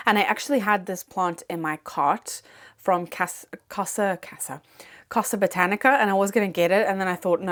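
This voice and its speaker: A woman vlogger chatting casually at home, rambling with lots of fillers and restarts; English is not her first language. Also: mid-range voice. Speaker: plant vlogger. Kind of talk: casual chat. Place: home, indoors.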